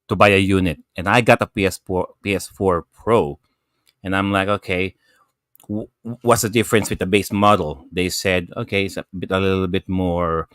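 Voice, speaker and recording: Man, fairly low pitch, clean tone, halting emphatic delivery, casual gaming commentary. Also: steady tone, a bit bright, smooth, no room sound, clean studio sound. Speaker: gaming show panelist.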